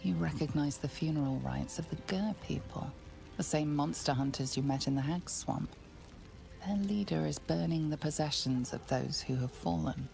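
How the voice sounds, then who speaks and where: A hushed, ominous narrator for a fantasy video game. Her voice is low, dark and thick with creak, telling the tale in a measured storyteller's manner. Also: faint music behind.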